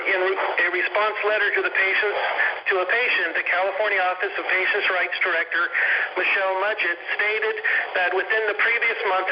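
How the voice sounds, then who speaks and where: A man formally reading out a prepared statement as a panel presenter, stumbling now and then over the written words. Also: high voice. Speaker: patient speaking as panel presenter. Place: echoey room on a conference call. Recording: dull, noisy, echoey conference call audio.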